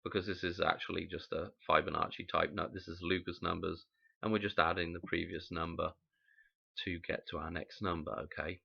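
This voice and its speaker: Croaky man. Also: sits low, little pitch movement, a little fast, sharp tone, highs cut off. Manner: rambling, musing, frequent "okay?" check-ins. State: croaky from a cold. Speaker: channel host explaining number codes. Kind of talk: casual explanatory talk.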